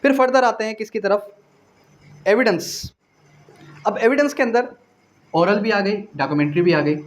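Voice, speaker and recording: A man's voice: high-pitched, normal speed. A man with a Pakistani accent giving a didactic law lecture, repeating phrases, asking rhetorical questions and mixing in English legal terms. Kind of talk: exam-prep lecture, explaining point by point.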